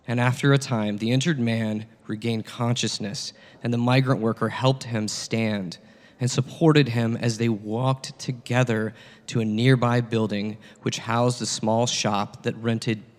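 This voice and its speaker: A man playing a patient, earnest teacher of parables in a satirical dramatic reading, solemn and deliberate, with long echo around the voice. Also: fairly low pitch, lightly acted. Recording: long reverberant hall echo.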